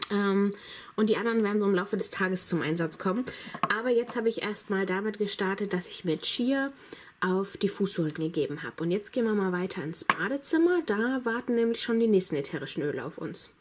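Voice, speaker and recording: A woman's voice: mid-range voice, mellow. A chatty woman in her thirties, a little under the weather, talks straight to her viewers. She walks through her home with a handheld phone camera, keeping an easy, enthusiastic tone. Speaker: vlogger. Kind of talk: casual vlog walkthrough. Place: home, indoors.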